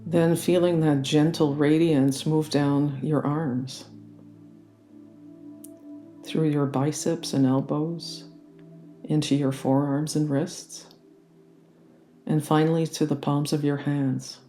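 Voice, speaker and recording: Mellow, even-toned meditation guide with soft music. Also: medium pitch. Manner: gentle, soothing, unhurried instruction. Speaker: yoga nidra meditation guide.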